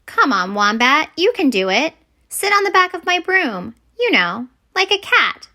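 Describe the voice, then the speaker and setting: Woman acting a young storybook witch girl, exasperated, big-hearted, high bright voice, exclaiming. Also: measured pace, exaggerated voice. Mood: coaxing. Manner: expressive, exclaiming. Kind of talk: children's picture book character voice.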